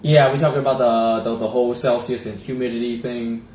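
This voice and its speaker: A man co-hosting a relaxed chat, teasing his partner and cutting in often. The room rings with echo, and a faint hum sits under his voice. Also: medium pitch, a little fast. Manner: chatty, interrupts, teasing. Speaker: co-host. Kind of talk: casual chat.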